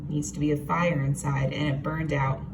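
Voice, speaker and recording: A woman reading a picture book aloud to children in an expressive, teacherly way, with asides and questions. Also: low-pitched, normal speed. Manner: expressive, explains words and asks listeners questions. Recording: noisy, distant microphone.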